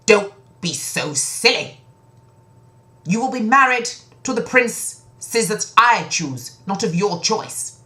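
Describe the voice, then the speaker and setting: A commanding storybook king, acted out by a woman storyteller, stern and disbelieving. The delivery is imperious and emphatic, pushed well above a quiet telling voice, with big swings in pitch. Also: very animated, slow pace, full character voice.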